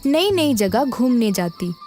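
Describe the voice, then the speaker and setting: High-pitched, animated woman storyteller. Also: slow pace. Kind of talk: scripted children's story narration. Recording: music playing behind the narration.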